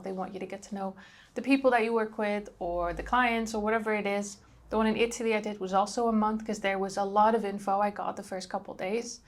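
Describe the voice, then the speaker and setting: A young woman from the Netherlands with a Dutch accent, chatting casually to camera as a travel vlogger, with frequent ums and likes. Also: mid-range voice.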